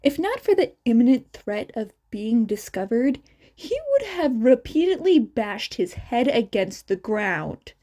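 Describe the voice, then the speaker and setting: Female audiobook-style narrator: soft-toned, expressive, lively pitch, unhurried fiction reading. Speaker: amateur audiobook reader.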